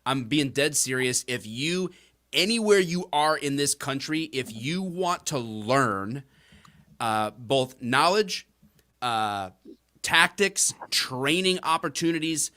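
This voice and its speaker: Male podcast host, bright and forceful, jokey, pushes the plugs hard. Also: medium pitch, a little slow. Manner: energetic, joking, emphatic plugs. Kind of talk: casual podcast talk.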